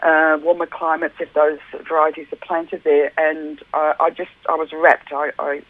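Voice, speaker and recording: A woman with a husky voice, a wine judge talking on the radio as a guest, enthusiastic and rambling with lots of fillers. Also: sits low, flat delivery, moderate pace. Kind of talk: casual radio phone interview. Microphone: telephone. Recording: narrow tinny phone line.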